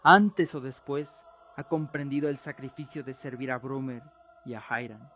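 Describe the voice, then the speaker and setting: A man's voice: medium pitch, moderate pace, slight background noise. A man narrating a scripted radio drama in a solemn, theatrical way. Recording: muffled lo-fi audio, music behind. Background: music.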